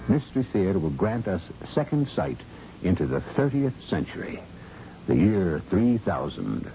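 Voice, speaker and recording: A man's voice: low-pitched, vocal fry. A man hosting a radio drama with scripted, theatrical narration. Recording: muffled old radio broadcast. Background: prominent music.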